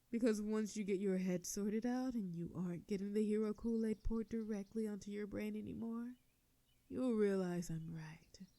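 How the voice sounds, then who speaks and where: A woman playing a proud, sardonic female telepathic villain with a hidden soft side, in a theatrical scripted audio drama. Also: medium pitch, vocal fry, dry recording. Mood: soft, teasing. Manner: theatrical, indignant then soothing.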